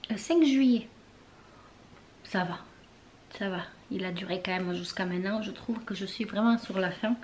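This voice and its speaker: A young woman talks casually in her bedroom, rambling through loose, trailing sentences full of fillers. She often drifts into a soft near-whisper. Faint room noise sits underneath. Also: medium pitch, moderate pace, pauses often. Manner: chatty and rambling, many fillers and trailing phrases. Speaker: beauty vlogger. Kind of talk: casual chat to camera.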